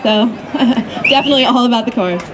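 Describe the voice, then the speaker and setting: A woman's voice: fairly high pitch, fast. A woman from Oakland, California, answering casually on stage as a pinup contestant, with a few hesitant fillers. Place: outdoor car show. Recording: loud outdoor crowd and noise.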